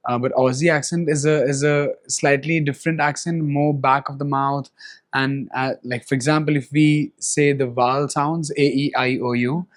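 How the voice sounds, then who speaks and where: A man's voice: mid-range voice, flat delivery, moderate pace. A young Indian man with an Indian English accent, an actor being interviewed, chatting animatedly with frequent laughs and fillers. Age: young adult. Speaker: actor guest. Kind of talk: casual interview, mixing English and Hindi.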